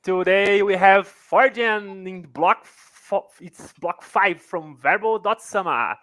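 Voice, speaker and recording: Higher-voiced man interviewing haltingly. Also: pushed and bright, no echo, studio quality. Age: 30s. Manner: halting, repeats words, slow. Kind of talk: casual interview.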